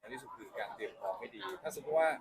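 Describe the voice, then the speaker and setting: Man with very lively intonation, crowd behind. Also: on the low side, racing, low-quality recording. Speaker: music producer or label boss interviewed. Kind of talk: casual press interview. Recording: busy crowd chatter behind. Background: press crowd.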